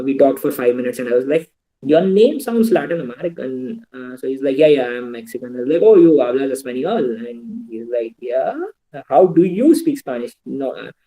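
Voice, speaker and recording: A young Indian man talks students through his advice over a video call. His voice is mellow and dark, his pitch in the middle. He sounds earnest and practical, now and then slipping into Hindi. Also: rough recording. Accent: Indian English. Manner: earnest, advising, switches into Hindi asides. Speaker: guest speaker. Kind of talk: online talk giving advice to students.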